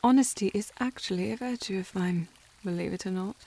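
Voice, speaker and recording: A woman's voice: mid-range voice, a little fast, warm tone, dry recording, natural voice. A woman playing a haughty young upper-class lady in a historical audio roleplay, formal and teasing in manner. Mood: arch, teasing.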